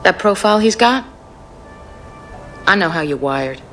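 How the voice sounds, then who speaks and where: A woman's voice: mid-range voice, animated, measured pace, natural voice. An actress playing a tough, laconic female mercenary in a radio drama, with music and chimes behind her. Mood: cool, knowing. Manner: cool, laconic, streetwise.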